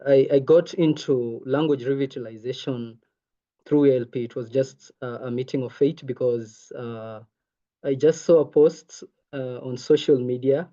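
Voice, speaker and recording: Young man, from Kenya, Maasai native speaker, warm tone, earnest, lo-fi video call. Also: medium pitch. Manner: earnest, many ums. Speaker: intern presenter. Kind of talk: online presentation. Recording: muffled video call audio.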